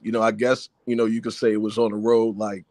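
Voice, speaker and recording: A man calling in to a sports show, chatting in a relaxed way. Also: lowish voice, fast, no room sound, studio quality. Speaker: caller. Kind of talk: casual sports talk.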